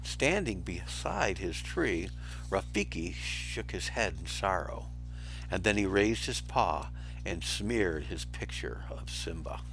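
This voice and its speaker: Male storyteller for kids' books, fairly low-pitched, very animated delivery, music softly behind. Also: slow, vocal fry, rough recording. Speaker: storyteller reading to pupils. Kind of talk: expressive children's storybook narration.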